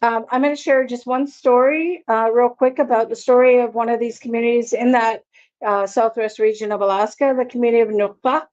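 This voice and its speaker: A woman giving a conference panel talk, earnest and halting, with many fillers and restarts. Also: a little high, fairly even intonation, darkish tone. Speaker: panel presenter. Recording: dull lo-fi conference audio.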